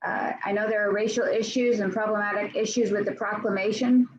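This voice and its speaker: Female minister, mid-pitched, measured and hesitant, lo-fi video-call sound with slight background noise. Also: fairly even intonation. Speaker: church minister. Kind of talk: congregational address.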